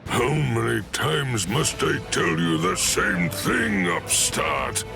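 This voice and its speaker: An actor playing a stern, fierce old head captain in an anime game, gravelly and imperious, speaking with grave, measured authority. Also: male voice, medium pitch, very animated, music in the background, full character voice. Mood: stern, contemptuous. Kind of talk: dramatic anime game dub acting.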